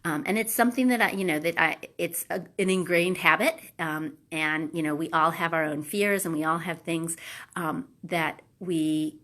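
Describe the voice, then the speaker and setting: Congested woman with a low voice. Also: fairly even intonation, moderate pace, a bit bright. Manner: hesitant, many ums and restarts. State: congested, nasal from allergies. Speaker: live video host. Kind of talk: casual motivational talk.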